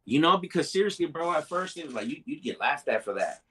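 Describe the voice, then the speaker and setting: A man's voice: fairly high pitch, very animated, fast, sharp tone, husky. A man, telling his story as a podcast guest in laid-back street slang, dropping Spanish phrases and calling everyone bro. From Mexico. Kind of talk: casual podcast chat.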